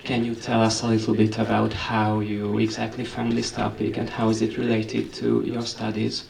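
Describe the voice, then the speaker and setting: A man, a film critic, asking the filmmakers measured, hesitant questions at a festival. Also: fairly low pitch, completely flat delivery, vocal fry, darkish tone, a little hiss or hum. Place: festival hall with audience. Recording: distant mic in a crowded hall.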